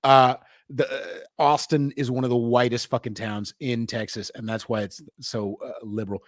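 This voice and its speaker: A man's voice: mid-range voice, level tone, moderate pace, dry recording, studio quality. A man from New York hosting a podcast, talking in a blunt, profane, rambling casual way. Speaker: podcast host. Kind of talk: casual chat.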